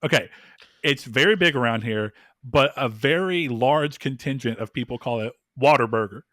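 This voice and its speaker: A man's voice: medium pitch, moderate pace, fairly bright tone, dry recording, clean studio sound. A man with a Southern US accent, chatting casually as a podcast co-host. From American South. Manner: chatty, laughing, drawling. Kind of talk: casual chat.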